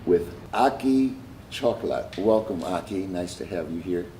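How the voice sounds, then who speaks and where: A middle-aged man hosting an interview, lively and gossipy in how he asks questions. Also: low-pitched, measured pace, a little hiss or hum. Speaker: host. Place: large bright studio loft indoors.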